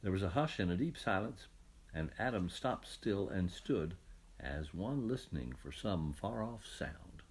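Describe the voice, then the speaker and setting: A man narrating a scripted children's story as an audiobook reader, in an expressive storytelling manner. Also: low-pitched, unhurried, husky.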